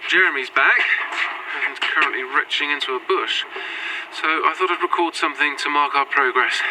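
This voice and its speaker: A woman's voice: deep voice, distant mic, background noise, close to an everyday voice. A performer playing a plucky, independent modern young woman, talking casually and bluntly in a found-footage audio drama. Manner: casual, hesitant starts, blunt.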